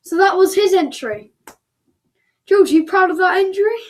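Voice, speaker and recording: A woman's voice: extremely high pitch, animated, unhurried. A woman casually announcing results as the host of an online show, chatty and thinking aloud as she goes.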